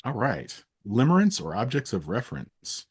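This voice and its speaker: Slightly husky, animated man hosting a quiz. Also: medium pitch, moderate pace. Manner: playful, teasing. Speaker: interviewer, host. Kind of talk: rapid either-or quiz in casual chat.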